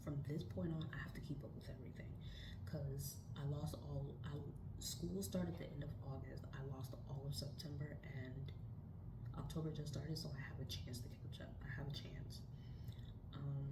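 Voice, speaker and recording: A woman in her late thirties from New York, chatting casually in a vlog from her dorm room, rambling and repeating herself, slipping into soft whispery asides. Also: low-pitched, roomy sound, recorded from a distance, very noisy. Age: 30s. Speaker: vlogger.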